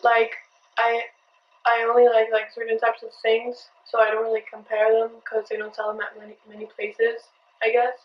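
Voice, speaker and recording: A young woman, a student, answering interview questions in a soft, low-key way. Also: monotone, moderate pace. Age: young adult. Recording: echoey room, dull sound, faint noise.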